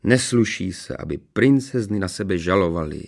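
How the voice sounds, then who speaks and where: Guy as a storybook king, lowish voice, calm fatherly scolding. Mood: reproving. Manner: measured, reproving. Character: calm, admonishing fairy-tale king and father.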